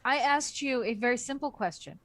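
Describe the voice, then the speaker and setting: A woman's voice: a little high, expressive, a little fast, a bit bright. A woman co-hosting a comedic book club chat, bantering in a dry, sardonic way.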